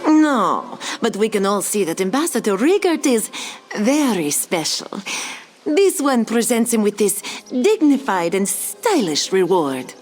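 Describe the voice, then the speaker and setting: A woman playing a dignified female cat-folk spokeswoman for a noble in a game roleplay, formal, measured and dryly disdainful. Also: medium pitch, very animated, darkish tone, soft background music. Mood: magnanimous, dry.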